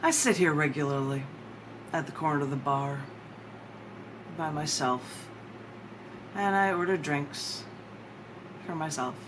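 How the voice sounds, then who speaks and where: Low-voiced woman poet, noisy recording. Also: expressive, measured pace, pauses often. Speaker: poet reading own work. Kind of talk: live poetry reading with chatty introductions.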